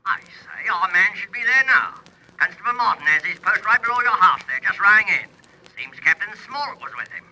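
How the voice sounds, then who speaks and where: Male actor as desk sergeant in radio mystery. High, very sharp voice, unhurried and reassuring. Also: animated, exaggerated voice, poor audio. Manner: deliberate, reassuring. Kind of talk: radio mystery play acting.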